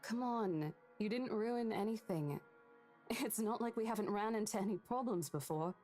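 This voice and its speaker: An actress playing a caring young real estate agent who talks in a reassuring, coaxing way in a horror visual novel. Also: female voice, mid-range voice, moderate pace, dark tone, soft background music, lightly acted.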